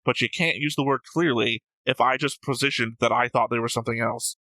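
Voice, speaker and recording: A man with a very bright, forward voice at a middling pitch, recorded close and dry. He co-hosts a reaction show, debating casually and pedantically, correcting fine points. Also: steady tone, brisk, dry recording, rough recording. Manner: pedantic, correcting his co-host. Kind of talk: casual argumentative discussion.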